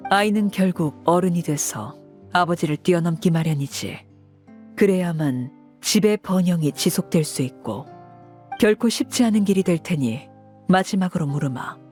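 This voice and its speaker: Woman acting as commanding female leader, stern, measured, formal, authoritative, dramatic game dialogue, music underneath. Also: fairly low pitch, expressive, darkish tone, close to an everyday voice. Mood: stern, resolute.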